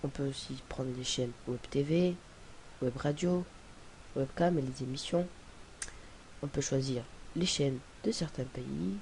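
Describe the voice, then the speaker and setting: A young man with a cold, talking through a casual screen-recorded software tutorial in a rambling, hesitant way. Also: medium pitch, measured pace, gravelly, low-quality recording. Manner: rambling, hesitant, many fillers and restarts. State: has a cold. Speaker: tutorial presenter. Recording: faint hiss on home recording.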